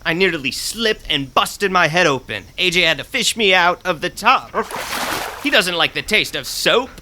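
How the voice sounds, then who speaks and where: A woman's voice: fairly low pitch, animated, moderate pace, background noise, lightly acted. A woman playing a pompous, entitled fake mystic with a theatrical manner in a comic audio drama. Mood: petulant, demanding. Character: pompous, entitled island mystic, a fraudulent grandiose oracle.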